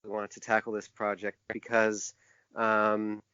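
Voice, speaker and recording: Man, fairly low pitch, interview guest, reflective, halting delivery, repeated words, slightly muffled lo-fi recording. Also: completely flat delivery, bright, forceful tone, clear, resonant tone, no echo. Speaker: interview guest, publisher and graphic designer. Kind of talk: conversational interview answers. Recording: dull, lo-fi, dry sound.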